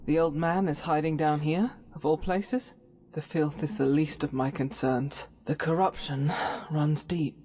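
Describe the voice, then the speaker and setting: A woman as a low-voiced, devout warrior companion. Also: steady tone, warm tone, faint music behind. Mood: earnest, grave. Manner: formal, measured, earnest. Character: devout, grave female warrior companion, principled and formal. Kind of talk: scripted fantasy game dialogue.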